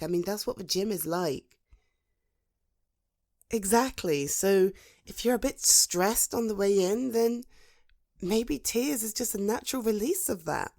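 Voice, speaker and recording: A British woman playing a protective, supportive female friend in a conversational ASMR roleplay, soothing and encouraging, with thoughtful pauses. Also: mid-range voice, vocal fry, dark tone. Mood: concerned, reassuring. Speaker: voice actor in an audio roleplay. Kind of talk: ASMR roleplay, conversational one-sided dialogue.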